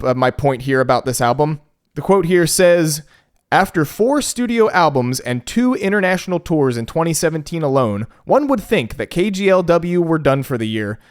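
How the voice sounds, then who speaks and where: Male co-host, fairly high and smooth, loose joking delivery with lots of fillers. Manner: rambling, joking, frequent fillers. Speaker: podcast co-host. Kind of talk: casual podcast chat.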